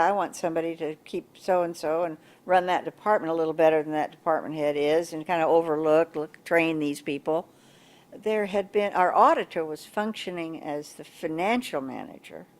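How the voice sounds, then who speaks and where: An older woman with a big voice, recalling local government history in an oral history interview, pausing and restarting as she searches for words. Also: fairly low pitch, a little mellow. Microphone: table mic in front of a coffee pot.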